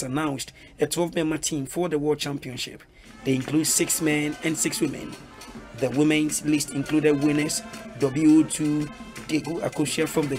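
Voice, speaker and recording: Man reading news voice-over. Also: fairly high pitch. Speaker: news reporter. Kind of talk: scripted news narration.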